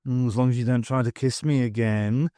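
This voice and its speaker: A man's voice: medium pitch, fairly even intonation, a little fast, mellow, no echo, clean studio sound, close to an everyday voice. A man voicing a self-pitying magician vizier who is really a computer program, whiny and sardonic.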